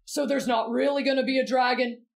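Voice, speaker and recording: A woman's voice: sits high, steady tone, a little fast, vocal fry. A woman voicing a brash, blunt, mischievous teenage boy in an anime fan roleplay. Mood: blunt, puzzled. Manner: blunt, cocky, teasing.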